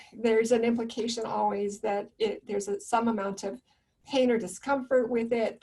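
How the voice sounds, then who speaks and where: A soft-spoken woman giving a gentle, reflective webinar presentation about loneliness over a video call. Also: mid-range voice, gravelly, distant mic, low-quality recording.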